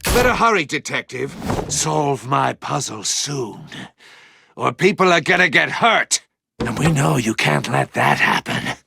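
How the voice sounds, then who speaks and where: Theatrical villain, mocking, very animated, older, video game, loud noise behind. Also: male voice, mid-range voice, a little slow, creaky, exaggerated voice. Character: theatrical sneering older male villain, gleeful and taunting.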